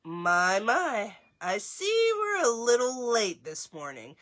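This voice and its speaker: A woman's voice: a little high, huge pitch swings, slow pace, fairly bright tone, full character voice. A woman voicing a grand, self-assured male orchestra conductor from a storybook, genial and a little pompous. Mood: refreshed, brisk.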